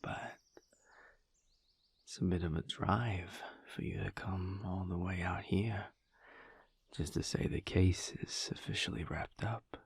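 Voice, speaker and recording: A man playing a teasing, guarded lover in an intimate audio roleplay, speaking in a husky, breathy murmur with hesitant pauses. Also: sits low, fairly even intonation, no room sound, full character voice. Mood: dry, probing.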